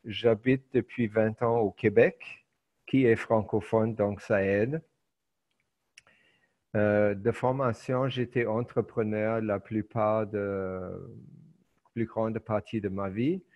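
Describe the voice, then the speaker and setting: A middle-aged man whose first language is German, giving an online lecture in a hesitant way with many filler pauses. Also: on the low side, steady tone. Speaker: teacher. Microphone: video call. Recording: muffled video call audio.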